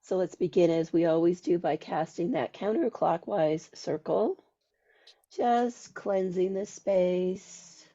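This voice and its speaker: Woman, slow, gravelly, squeaky from a cold, podcast host, dull lo-fi sound. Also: warm tone. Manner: slow, deliberate, chanting readings. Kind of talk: casual podcast chat and ritual invocation reading.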